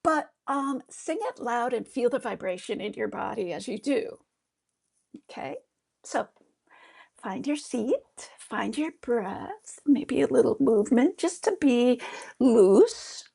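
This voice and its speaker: A middle-aged woman with a high, slightly gravelly voice leads a beginner's mantra meditation. She speaks slowly and gently, encouraging listeners with relaxed fillers and small restarts. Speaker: yoga therapist teaching mantra. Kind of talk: guided meditation lesson.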